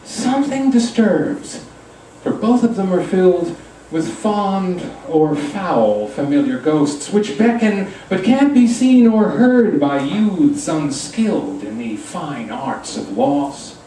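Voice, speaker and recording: A man giving a live poetry reading in a theatrical, deliberate style with wry asides. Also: high voice, sing-song, dark tone. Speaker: poet reading at a launch. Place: pub reading room, indoors. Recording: echoey room, distant mic, faint noise.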